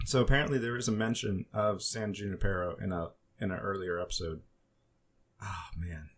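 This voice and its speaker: A man co-hosting a podcast, casually discussing television in halting phrases with repeated words. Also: low-pitched, fairly even intonation, slightly rough. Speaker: podcast co-host.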